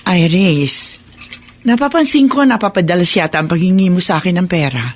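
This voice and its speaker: A woman's voice: fairly low pitch, expressive, moderate pace. Patient, pleading Filipino mother. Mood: worried pleading. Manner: gentle, pleading, placating. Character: patient, worried, hardworking mother of a teenager. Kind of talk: radio drama acting.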